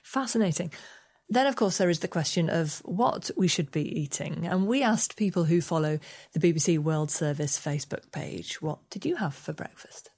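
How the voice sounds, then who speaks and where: A woman with a slightly low voice presents a radio programme. She speaks as the host of a broadcast interview, guiding guests through a discussion. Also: lively intonation, moderate pace, darkish tone, husky.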